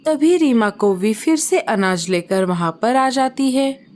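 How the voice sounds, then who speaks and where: A woman narrating a children's storybook cartoon in a calm, measured voice. Her pitch sits somewhat high and her pace is unhurried. The tone is plain and steady throughout.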